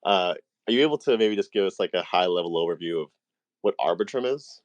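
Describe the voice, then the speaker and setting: A man's voice: deep voice, lively intonation, fast talker, dry recording. A man moderating a casual Q&A, asking hesitant, roundabout questions. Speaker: interviewer, moderator.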